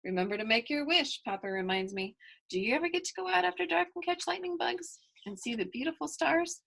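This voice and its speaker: A woman's voice: high voice, lively intonation, fairly quick, creaky, a bit bright. Woman reading a picture book aloud. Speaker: children's story reader. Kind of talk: expressive picture-book read-aloud with chatty asides to children.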